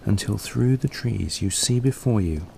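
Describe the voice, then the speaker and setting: A man narrating a scripted guided meditation in a calm, unhurried, soothing way. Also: fairly low pitch, gravelly, a little hiss or hum. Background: soft background music.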